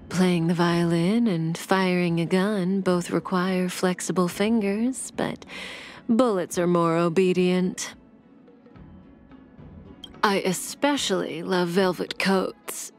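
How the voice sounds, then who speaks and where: An actress playing a calm, seductive female assassin in a video game, with a breathy, velvety voice and a languid, teasing delivery. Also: fairly low pitch. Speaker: game character voice actor. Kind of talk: scripted video game character voice lines. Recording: clean studio voice over game music.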